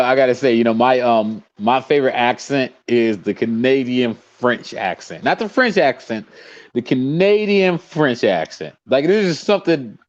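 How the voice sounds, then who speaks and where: Podcast co-host, male, mild Cleveland accent, very animated intonation, casual banter, muffled lo-fi audio. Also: a little high. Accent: light Cleveland. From Cleveland, USA. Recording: dull, lo-fi microphone sound.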